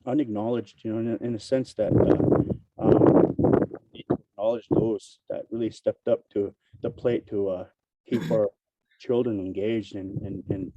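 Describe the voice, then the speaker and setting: A man with a raspy voice, hoarse from a cold, opening a conference with a spoken invocation and talking haltingly with many fillers. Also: on the low side, steady tone, recorded from a distance, muffled recording, heavy background noise. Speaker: opening speaker.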